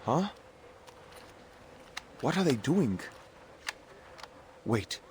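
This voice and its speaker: An actor playing a world-weary German army captain in a war game, cynical and gruff as he commands. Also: mid-range voice, big pitch swings, drawn-out words, slight background noise. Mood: cynical, commanding.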